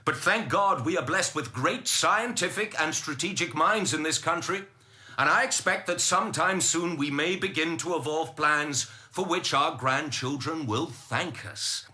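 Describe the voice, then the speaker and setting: A man acting a British minister making a grave, impassioned speech in a radio drama, solemn and deliberate in his rhetoric. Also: on the high side, bright, forceful tone.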